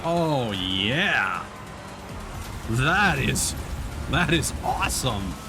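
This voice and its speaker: A man giving casual live commentary as a video game streamer, thinking aloud in a halting way. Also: medium pitch, big pitch swings. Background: game music and vehicle sounds.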